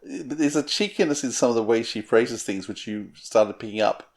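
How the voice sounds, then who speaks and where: A man with a medium-pitched voice acts as interviewer. He speaks conversationally, in an analytical way, working out his thoughts as he phrases them. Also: normal speed.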